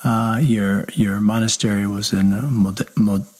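A man's voice: on the low side, flat delivery, a little slow, a little mellow, breathy edge. A man, a parish priest hosting a radio show, conversational and affirming, with many fillers. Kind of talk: conversational interview.

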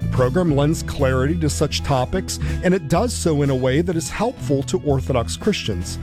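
A man, a priest and radio host, reading a measured, earnest donation appeal for his station. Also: on the high side, animated. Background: guitar music.

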